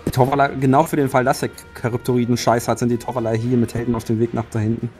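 A man's voice: medium pitch, little pitch movement, quick pace, darkish tone, soft background music. A man playing a tabletop game, talking through battle tactics in a matter-of-fact way. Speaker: player. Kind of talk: strategy discussion in a tabletop game.

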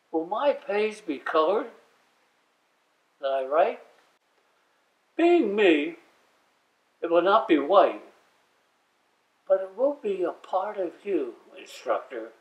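An elderly man in his eighties reading poetry aloud with deliberate, expressive phrasing and pauses, seated in a room at home. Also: on the high side, vocal fry. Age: 80s. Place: small domestic room indoors, seated at a wooden table. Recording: roomy, echoing, slightly distant microphone.

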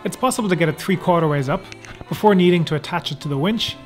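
A man narrating a how-to sailing vlog in a measured, explanatory manner. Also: sits high, faint music behind. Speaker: sailing vlogger.

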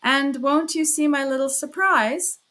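A woman's voice: mid-range voice, lively intonation, a little slow, a bit bright. A woman voicing a sly male examining lawyer in an audiobook, with an ironic, needling, falsely good-humored manner. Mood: playful, gloating. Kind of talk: dramatized audiobook character reading.

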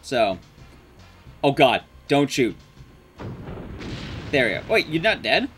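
Animated sweary male game streamer. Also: medium pitch, slow pace, soft background music. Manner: sweary, muttering asides to himself. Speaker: streamer, speedrunner. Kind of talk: casual live gameplay commentary. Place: indoor room, streaming setup.

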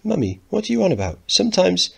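Guy doing a polite posh 14 yr old boy, awkward, higher pitch, reading a play. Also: wildly swooping pitch, quick pace, vocal fry, fairly bright tone, slightly rough, exaggerated voice. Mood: exasperated. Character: awkward, polite upper-class fourteen-year-old boy.

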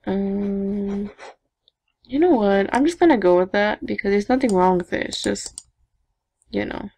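Young woman, gravelly low voice, unhurried, chatty self-talk, desktop mic. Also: mellow. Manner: chatty, self-talking, giggly asides. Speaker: gaming vlogger. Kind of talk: casual screen-recording commentary.

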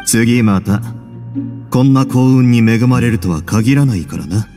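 An actor playing a composed, wry young duke who runs a prison in a game, measured and nonchalant with quiet authority. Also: male voice, medium pitch, soft background music, natural voice. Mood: casual, wry. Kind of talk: game voice acting.

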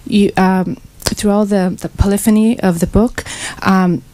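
A woman's voice: medium pitch, steady tone, a little slow, dark tone, rough recording. A woman in an audience asking a question live, choosing her words hesitantly and earnestly. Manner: hesitant, earnest, careful phrasing. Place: small crowded bookshop, indoors. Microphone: passed handheld microphone.

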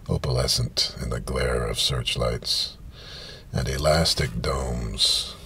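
Male reader, 50s, extremely low and creaky, deliberate halting delivery of literary prose, light ambient noise inside a car. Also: bright, forceful tone. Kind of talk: literary reading of science fiction prose. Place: inside a parked car, small space.

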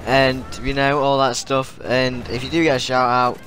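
A man's voice: mid-range voice, level tone, moderate pace, fairly bright tone. A teenage boy with a cold, rambling through casual tips to his viewers over gameplay, often repeating himself. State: has a cold. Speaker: gaming YouTuber.

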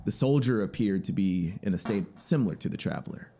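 Guy in his 30s reading a dramatic story, lowish voice, close mic, synth ambience behind. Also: animated, fairly quick, vocal fry. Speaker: narrator. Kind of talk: dramatic literary story narration. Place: small home music studio. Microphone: close studio microphone on boom arm. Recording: ambient soundscape noise behind voice. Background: synthesizer soundscape.

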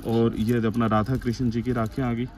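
A man's voice: medium pitch, flat delivery, moderate pace, a little mellow. A man hosting a casual shop tour, eagerly prompting and addressing viewers with repeated interjections. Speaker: vlog host touring a wholesale shop. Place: busy open-fronted market shop. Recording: clear street and market background noise.

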